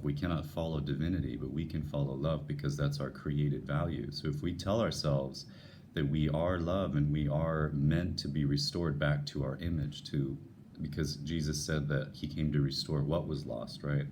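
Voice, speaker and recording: A man with an unusually deep, radio-like voice, talking earnestly and musing to his viewers about faith, with frequent restarts. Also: darkish tone, slight background noise. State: voice lowered by a passing illness. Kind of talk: unscripted devotional talk to viewers.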